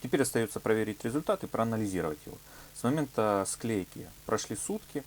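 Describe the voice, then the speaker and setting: Man, low-pitched voice, informal tutorial presenter, hesitant explanatory delivery, background noise and hum. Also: expressive, quick pace. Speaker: leathercraft workshop tutorial presenter. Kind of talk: informal hands-on product demonstration.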